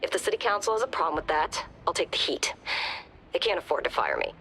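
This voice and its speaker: A woman's voice: on the low side, huge pitch swings, quick pace, a bit bright, full character voice. A woman playing a tough, blunt, no-nonsense police commissioner in a video game. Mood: blunt, frank. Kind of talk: scripted game dialogue. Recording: faint noise, ambience behind.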